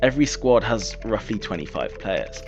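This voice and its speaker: A man giving a measured scripted narration about football rankings. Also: lowish voice, big pitch swings. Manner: measured, explanatory. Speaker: documentary narrator. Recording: dull clip audio under music.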